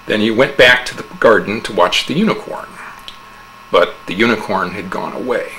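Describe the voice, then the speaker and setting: A man narrating a humorous fable as a dramatic oral interpretation, with theatrical, measured storytelling. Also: lowish voice, bright, forceful tone, a little hiss or hum, poor audio.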